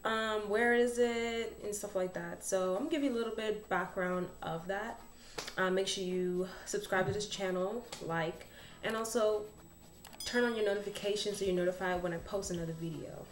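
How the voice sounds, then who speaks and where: A woman's voice: medium pitch, steady tone, moderate pace. A young woman chatting animatedly as she explains things to her camera in a small room. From Bahamas. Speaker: vlogger. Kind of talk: casual explainer vlog. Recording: echoey room with faint background music.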